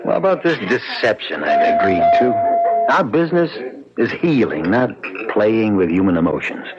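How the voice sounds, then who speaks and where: A man's voice: lowish voice, wildly swooping pitch, moderate pace. An actor playing a worried, principled male chief of staff at a hospital in a 1970s radio drama, grave and emphatic. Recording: dull lo-fi radio recording, crowd murmur behind.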